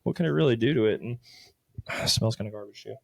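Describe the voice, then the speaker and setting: A man's voice: fairly low pitch, fast talker, creaky. Loose, swearing late-20s guy. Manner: loose, profane, rambling storytelling. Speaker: podcast co-host. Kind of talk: casual chat.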